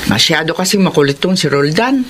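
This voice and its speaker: A woman acting a suspicious, sharp-tongued middle-aged mother with a Filipino accent in a radio drama. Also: low voice, animated, brisk. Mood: sarcastic prying. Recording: noisy background ambience.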